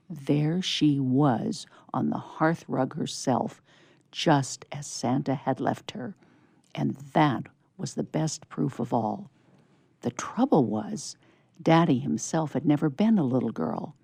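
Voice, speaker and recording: Low, mellow woman reading a children's story. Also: gravelly, slightly rough, dry recording. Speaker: story reader. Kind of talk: scripted children's story narration read aloud.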